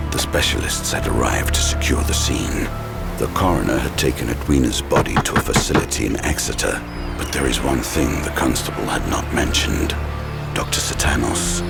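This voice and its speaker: A brooding narrator of a horror thriller. His extremely deep voice crackles with gravel as he tells scripted suspense over loud music.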